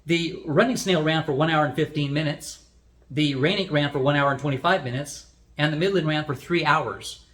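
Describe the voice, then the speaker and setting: A man's voice: fairly high pitch, normal speed, fairly bright tone. Man plainly explaining a product review.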